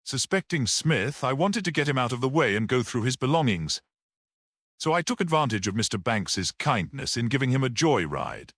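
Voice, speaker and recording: Guy doing a courteous detective for an audiobook, dry n formal, very sure of himself, lots of pitch movement. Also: big pitch swings, fairly bright tone, no room sound. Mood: composed, explanatory. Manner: formal, measured, clear and courteous. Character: courteous, dry, self-assured male detective.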